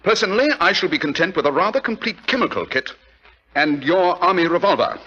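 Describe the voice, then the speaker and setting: An actor playing a supercilious, teasing English detective in a 1940s radio drama, fussy and condescending. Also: male voice, high voice, expressive, a little fast, heavy background noise. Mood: brisk, dry. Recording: muffled, harsh 1940s radio recording.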